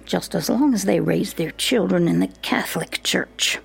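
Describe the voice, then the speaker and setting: A woman's voice: fairly low pitch, lively intonation, moderate pace, exaggerated voice. An actress playing a bitter, snobbish older mother who whispers spitefully during a church wedding in an audio drama. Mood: whispering spite. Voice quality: whispering, raspy.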